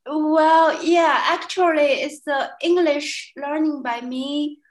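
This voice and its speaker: Hesitant Chinese-accented woman, high voice. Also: steady tone, slow pace. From China. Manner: hesitant, many fillers and restarts, laughs often. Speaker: guest, language student. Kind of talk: casual interview chat.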